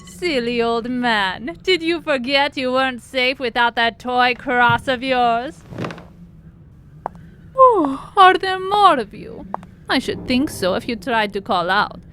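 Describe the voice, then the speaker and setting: An actress as a female vampire with a high voice and slow, deliberate delivery. She is mock-sweet and condescending, toying with her prey in an audio horror drama, over noticeable noise. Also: lively intonation, a bit bright, full character voice. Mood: mocking menace. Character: taunting, sinister female vampire, playfully menacing.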